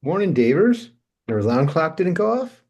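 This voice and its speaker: A man's voice: fairly high pitch, big pitch swings, moderate pace, a little mellow, breathy edge, dull audio, heavily acted. A man acting a laid-back, breezy male slacker in a casual, slangy way at a script read. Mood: breezy teasing. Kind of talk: table read of a film script.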